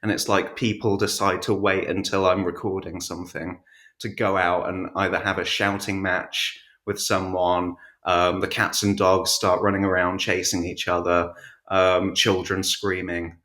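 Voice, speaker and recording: A man's voice: deep voice, level tone, a little slow, pushed and bright, echoey room. A man chatting casually as an interview guest, with a hesitant, self-effacing way of talking. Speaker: podcast guest, writer and voice actor.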